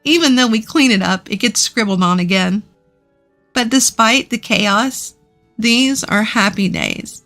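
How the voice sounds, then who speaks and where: A woman's voice: a little high, unhurried, fairly bright tone, soft background music. A woman reading a scripted first-person story as its narrator.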